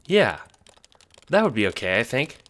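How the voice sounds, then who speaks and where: A man's voice: medium pitch, sing-song, normal speed, fairly bright tone, voice pushed up. A man playing an awkward, hesitant teenage prankster who questions their gender, in an audio drama. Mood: shy, unsure. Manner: hesitant, searching for words.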